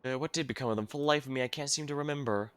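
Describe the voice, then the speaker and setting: A man voicing an excitable, eccentric researcher in a video game, with effusive, theatrical wording. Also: medium pitch, very fast, clear, resonant tone, natural voice. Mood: puzzled, helpful. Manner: effusive, theatrical wordy speech. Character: excitable overworked researcher, freshly rested, eccentric.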